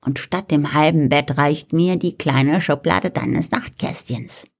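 A man voicing a cheeky, sarcastic female frog in a storybook reading, teasing and unhurried. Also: a little high, gravelly, voice pushed up, heavily acted.

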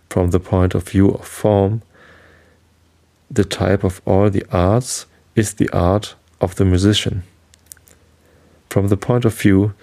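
A low, gravelly male voice with a German accent reads aloud for listeners who want to fall asleep. His tone is mellow and dark, and his delivery is calm and unhurried, with occasional stumbles over words. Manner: slow, halting, calm reading with some slips. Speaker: podcast host reading aloud. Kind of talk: sleep podcast reading.

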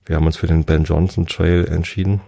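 Very deep, gravelly middle-aged man. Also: level tone, brisk, mellow. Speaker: host telling a personal travel story. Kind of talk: casual rambling travel storytelling.

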